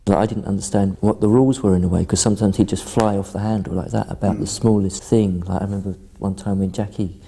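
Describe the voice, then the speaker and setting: A man's voice: fairly low pitch, steady tone, fast talker, creaky, few pauses, mellow, husky. A man from a Navy family recounting childhood memories in a casual, storytelling way. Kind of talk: documentary interview.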